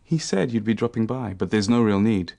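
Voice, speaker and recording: An actor playing an assured, businesslike professional man in a radio drama, speaking in a measured, matter-of-fact way. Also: fairly low pitch, lively intonation, gravelly, dark tone. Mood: polite, assured.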